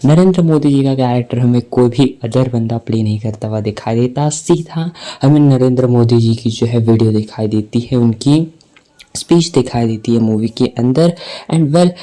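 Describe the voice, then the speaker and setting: A man's voice: mid-range voice, dark tone. A man with an Indian accent giving a film breakdown commentary in a wordy explainer manner, mixing in English words. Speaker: movie commentary YouTuber.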